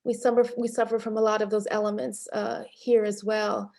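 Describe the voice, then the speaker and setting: A middle-aged woman filmmaker answering interview questions in a reflective way, pausing often with fillers and restarts. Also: mid-range voice, flat delivery, creaky, a little mellow. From Panamanian and Haitian family background. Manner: thoughtful, many fillers and restarts, occasional laughs. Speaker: film director being interviewed.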